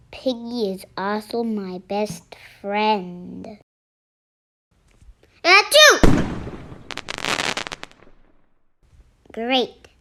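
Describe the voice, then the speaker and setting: A reader voicing an anxious elephant in a storybook, dramatic, halting and exclamatory. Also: mid-range voice, bright, forceful tone, exaggerated voice. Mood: miserable.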